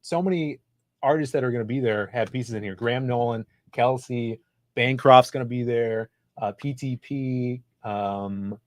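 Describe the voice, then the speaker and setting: A relaxed man talks casually on a livestream, thanking viewers and listing what he will bring to a convention. His voice sits at an ordinary middle pitch. The sound is dry and close, with no room around it. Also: unhurried, no room sound. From Chicago area. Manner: laid-back, chatty, reads super chats aloud. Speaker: co-host of a comics livestream.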